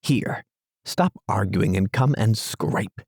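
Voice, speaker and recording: Impatient storybook water rat, male, brusque and scolding, voiced by a man in a low, gravelly voice. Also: slow, a little mellow, no echo, studio quality, full character voice. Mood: despairing. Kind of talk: storybook reading.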